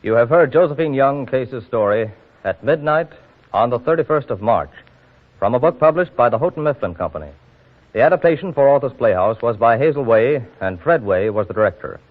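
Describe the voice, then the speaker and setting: A man's voice: mid-range voice, lively intonation, fairly quick, faint music behind. Tinny 1940s radio announcer, a man. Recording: tinny, narrow-band old radio broadcast.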